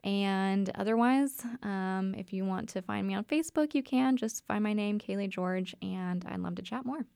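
A woman's voice: medium pitch, moderate pace, gravelly, few pauses, a little mellow, clear, resonant tone, dry recording. A woman guest on a radio interview, a dietitian talking in an upbeat, chatty way. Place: radio studio.

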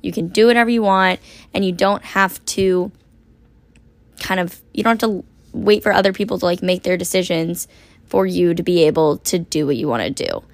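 A young woman in her twenties hosting a casual solo podcast, her voice hoarse and raspy from a long shift, rambling chattily with lots of "like". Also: on the low side, normal speed, dry recording. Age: 20s. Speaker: podcast host.

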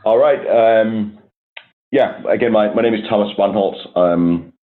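A man from Denmark, a company executive answering interview questions in a measured, hesitant way with many ums. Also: lowish voice. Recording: tinny phone-like line.

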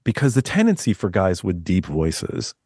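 A man with a deep voice, a guest author talking in a loose, rambling way with many restarts. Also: huge pitch swings, moderate pace, darkish tone, husky, no room sound, clean studio sound. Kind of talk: casual interview chat.

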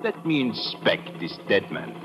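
An actor in an old radio drama playing a brusque, excitable foreign police officer who barks out commands. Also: male voice, fairly low pitch, a little slow, soft background music. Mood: suspicious. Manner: barking, commanding. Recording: very noisy old radio broadcast recording.

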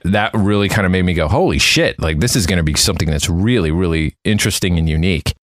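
A man's voice: deep voice, fast talker, dry recording. A man chatting casually as a panelist on a podcast.

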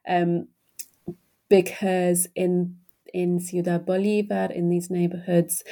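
A woman's voice: fairly low pitch, gravelly, warm tone. A woman in her thirties, an author answering interview questions about her research with thoughtful, hesitant phrasing and frequent fillers.

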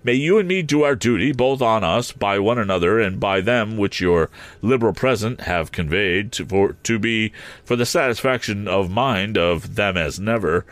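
A humble, earnest working man, voiced by a man reading a story, muddling through roundabout formal phrasing. The voice rises and falls in lively swings. The reading is dry and close. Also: lowish voice, moderate pace, no room sound, natural voice. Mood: earnest, halting. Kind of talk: audiobook character voice.

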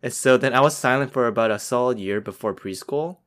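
A man's voice: medium pitch, fairly quick, a bit bright. A young man from the United States co-hosting a podcast, telling a story in a casual, rambling way with many fillers and restarts. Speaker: podcast co-host. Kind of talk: casual chat.

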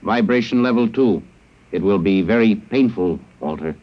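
A man's voice: fairly low pitch, animated, moderate pace, very noisy, lightly acted. Man playing a stilted, emotionless alien captor, muffled old recording. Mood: clinical, insistent. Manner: stilted, formal, emotionless phrasing without contractions. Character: coldly logical alien captor interrogating a human prisoner. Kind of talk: 1950s radio drama acting. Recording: muffled vintage radio recording.

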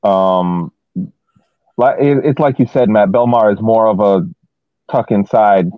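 Casting: tinny phone-quality feed, low-voiced male podcast panelist, halting and casual sports talk. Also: dry recording. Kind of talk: casual soccer analysis. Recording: thin phone-like audio.

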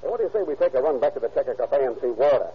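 An actor playing a steady, no-nonsense police detective who asks brisk, dry questions, in 1940s radio acting. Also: male voice, mid-range voice, breathy edge. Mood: thoughtful. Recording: muffled old radio recording.

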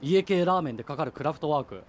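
An actor playing a blunt, exasperated high-school boy, the curt straight man in a naturalistic radio drama, with ambient noise around him. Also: lively intonation, racing, close to an everyday voice. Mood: exasperated banter. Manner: curt, dry retorts. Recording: noisy ambient background.

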